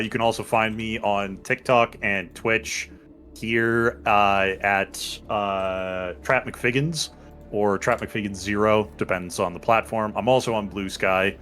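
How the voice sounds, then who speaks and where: A man's voice: lowish voice, a bit bright. A man, a player, giving an easygoing sign-off full of fillers. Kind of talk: casual stream outro.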